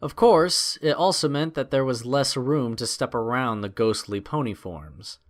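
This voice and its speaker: A man's voice: mid-range voice, a little slow. A man narrating a scripted adventure story in a dramatic storytelling style.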